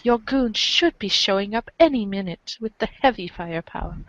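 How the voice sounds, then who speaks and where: A woman's voice: mid-range voice, animated, normal speed, a bit bright, dry recording, low-quality recording. A woman performing theatrical read-aloud narration as part of a podcast cast.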